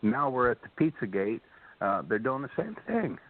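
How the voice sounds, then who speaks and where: A man speaking as a talk show guest, explaining things in an earnest, halting, rambling way. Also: medium pitch, very animated, no room sound. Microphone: telephone. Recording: tinny phone line.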